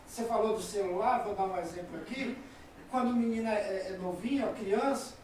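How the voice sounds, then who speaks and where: A Brazilian man in his forties, a talk show guest speaking calmly and giving personal examples. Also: high-pitched, a little fast, a little hiss or hum. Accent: Brazilian Portuguese. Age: 40s. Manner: calm, agreeing, tells personal examples. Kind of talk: conversational talk. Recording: echoey room, voice from far off.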